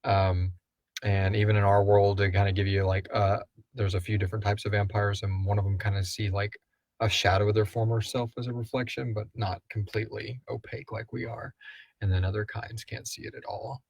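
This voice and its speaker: A man's voice: on the low side, level tone, a little fast, creaky, a little mellow, dry recording. A man hosting a casual live question-and-answer chat, talking in a rambling, irreverent way with lots of "like". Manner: rambling, frequent "like". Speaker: host and writer of a horror audio drama.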